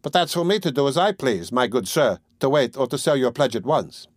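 Guy doing a stingy old pawnbroker lady, curt and sharp, haggles hard, voice pushed higher, dry sound. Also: big pitch swings, fairly quick, no room sound. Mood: curt, haggling.

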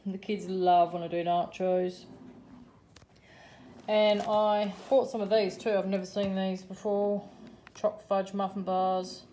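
A middle-aged Australian woman talks through her shopping haul at home, her voice mellow and relaxed. She chats along with easy ums and running price notes, and there is a faint hum of room noise behind her, picked up by a phone camera held over the table. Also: medium pitch. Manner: chatty, running price commentary with frequent ums. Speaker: vlogger showing a grocery haul. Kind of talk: casual chat. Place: home kitchen or dining room.

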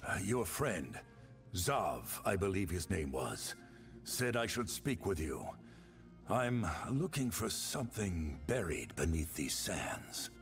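An actor playing a grim, terse, battle-worn wandering hero in a video game. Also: male voice, low-pitched, very animated, full character voice. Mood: guarded. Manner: terse, deliberate. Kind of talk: video game character dialogue. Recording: loud game music behind.

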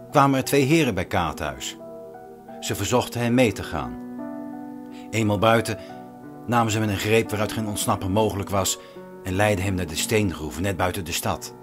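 A man narrating a radio drama in a solemn, measured, didactic way, as a stern storyteller. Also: fairly low pitch, natural voice. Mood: grave narration. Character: stern, measured male prison chaplain telling a parable. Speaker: narrator, actor and director of a radio play.

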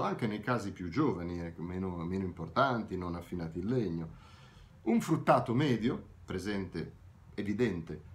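Deep-voiced man teaching wine tasting. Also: a little hiss or hum. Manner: animated, gesturing, explanatory with self-corrections. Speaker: wine educator. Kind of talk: video tutorial on wine tasting. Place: home room, indoors.

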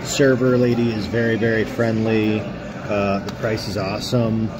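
A man's voice: fairly low pitch, flat delivery, measured pace, crowd noise, rough recording. A man, casually reviewing food on a phone at arm's length in a pub. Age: 30s. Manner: upbeat, chatty, rambling with fillers. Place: booth in a pub restaurant. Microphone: phone held at arm's length.

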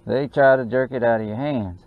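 A man giving excited running commentary while fishing from a small boat on a lake, recorded on a boat-mounted action camera. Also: mid-range voice, fairly quick. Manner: excited, drawling exclamations and mutters to the fish. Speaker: fishing channel host. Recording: dull action-camera audio with faint noise.

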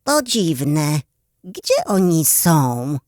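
A woman voicing an excitable, forgetful young schoolboy in a children's audio story, dramatic and exclaiming. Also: sits low, very slow, no echo, full character voice. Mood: puzzled.

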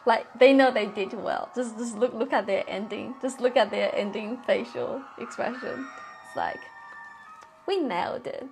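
A young adult woman giving casual, excited reaction commentary in an expressive, exclaiming way, recorded on a lapel mic in a bedroom. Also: on the high side, moderate pace, noisy background. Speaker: reaction video host. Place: small bedroom, indoors. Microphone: clip-on lapel mic.

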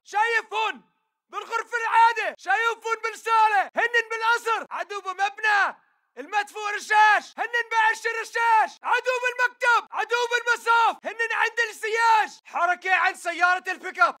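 An aggressive militant fighter in a war video game, with a Levantine Arabic accent. His voice is extremely bright and forceful, pushed very high for a man. He fires off clipped, alert combat callouts at a very rapid pace. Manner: urgent, clipped barking delivery.

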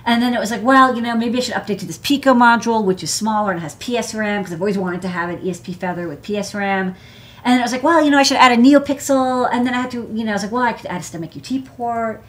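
A woman's voice: a little high, quick pace. An American woman, thirties or forties, hosting a casual live hardware show-and-tell in a rambling, chatty way full of "like" and "you know". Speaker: host, electronics engineer. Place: indoor desk workspace.

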